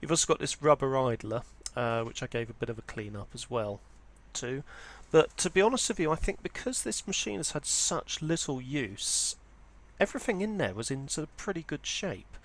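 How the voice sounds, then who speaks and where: A man with a medium-pitched voice presents a hobby video in an informal, unscripted style. He explains details step by step, with a hesitant delivery that often hedges as it goes. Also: expressive, normal speed. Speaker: presenter of a vintage audio hobby channel. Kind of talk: informal product walkthrough, unscripted.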